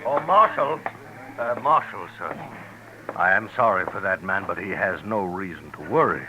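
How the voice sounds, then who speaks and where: A man's voice: sits low, expressive, moderate pace, lo-fi sound, noisy background. A man playing a gray-haired gambler with a formal, placating manner in a 1950s radio western. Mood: polite, unruffled. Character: gray-haired crooked gambler, smoothly polite and deferential. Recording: old radio recording, crowd murmur behind.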